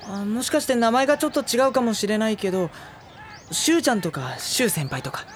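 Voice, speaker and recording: An actor playing a gentle, worrying high-school boy in a Japanese audio drama, soft-spoken and polite. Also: animated, fairly quick, a little hiss or hum. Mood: puzzled. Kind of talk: Japanese audio drama acting with introspective narration.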